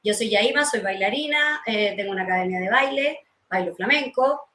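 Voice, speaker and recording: A woman's voice: medium pitch, normal speed, bright, forceful tone. A woman from Cuba, speaking conversationally as an interview guest. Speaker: interview guest, dance teacher. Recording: echoey room with faint noise.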